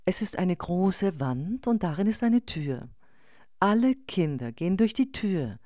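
A woman acts out a thoughtful, nonconformist mother in a radio play collage. The voice is very low and dark, with a measured, reflective delivery in a very dry, close sound. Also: big pitch swings, no room sound. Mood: recounting. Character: thoughtful, nonconformist mother answering online posts. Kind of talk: scripted radio collage reading of online posts.

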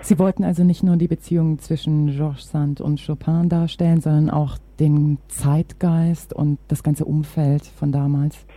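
A woman's voice: low-pitched, little pitch movement, unhurried, hardly any pauses, mellow, no room sound. A woman interviewing on radio, reading prepared introductions and questions.

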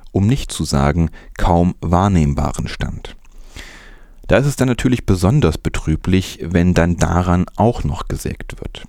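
Deep-voiced man presenting news, mellow. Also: no echo, studio quality. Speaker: podcast news host. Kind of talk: scripted news presentation with commentary.

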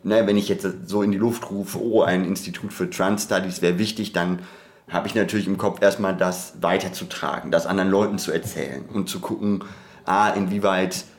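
This is a podcast co-host with a fairly low-pitched voice. The delivery is conversational and reflective, in an academic register. Sentences branch out, break off and get reworded as the thought develops. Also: fairly even intonation, normal speed. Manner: discursive, hesitant, self-interrupting academic talk.

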